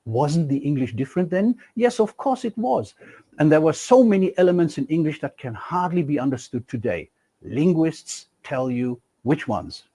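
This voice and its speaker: Middle-aged guy lecturing, voice a bit high, swoops up and down a lot, lots of rhetorical questions. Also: moderate pace, husky. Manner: animated, rhetorical questions, emphatic swings in pitch. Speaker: university lecturer. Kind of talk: recorded e-lecture.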